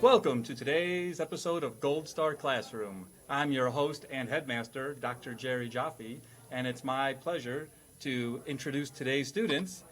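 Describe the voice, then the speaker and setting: A man's voice: medium pitch, measured pace, fairly bright tone. A man hosting a podcast quiz, mixing scripted introductions with playful questioning in a mock-schoolmasterly manner. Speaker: quiz show host.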